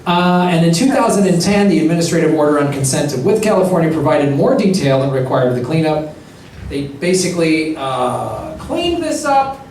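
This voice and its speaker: A man's voice: a little high, big pitch swings, normal speed, a little mellow, a little hiss or hum. A man hosting a live comedy show, telling a story with sarcastic, profane asides. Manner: joking, profane, sarcastic asides between facts. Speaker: comedy podcast host. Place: live venue before an audience. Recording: echoey live room, distant mic, crowd.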